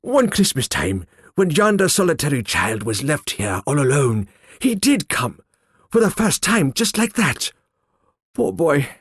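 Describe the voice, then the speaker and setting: A man voicing an old male miser in an audiobook, crying out in breathy bursts and then dropping to a hush. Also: on the low side, normal speed, no room sound, studio quality, heavily acted. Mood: ecstatic. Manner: excited exclamations swinging to hushed regret. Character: old male miser, swept up in childhood memories. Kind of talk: audiobook character voice.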